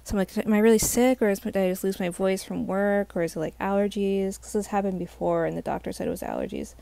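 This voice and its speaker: A young woman, slightly hoarse, telling a rambling casual storytime full of "like" fillers. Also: mid-range voice, fairly even intonation, fast talker, warm tone, no echo. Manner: rambling, heavy use of "like" fillers. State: slightly hoarse from a sore throat. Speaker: vlogger.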